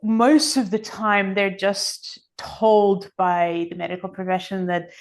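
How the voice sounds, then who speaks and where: A woman's voice: mid-range voice, fairly even intonation, takes it slowly. A middle-aged woman from Australia speaking as an interview guest, explaining things earnestly and conversationally with emphasis on key words. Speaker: interview guest, energy medicine author.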